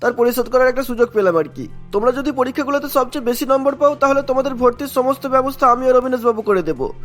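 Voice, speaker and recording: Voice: high voice, quick pace, lightly acted. An actor voicing a helpful male army training officer in a cartoon, firm and reassuring. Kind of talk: cartoon voice acting. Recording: background music bed.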